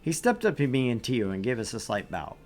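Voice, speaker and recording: A man streaming a visual novel, reading narration aloud and chatting with his co-host, animated and quick to laugh. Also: medium pitch, soft background music. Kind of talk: game stream reading and chat.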